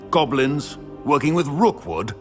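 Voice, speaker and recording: Slow, stern wizarding man. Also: medium pitch, sing-song. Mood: incredulous. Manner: emphatic, deliberate. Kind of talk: video game voice acting. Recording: music clearly behind.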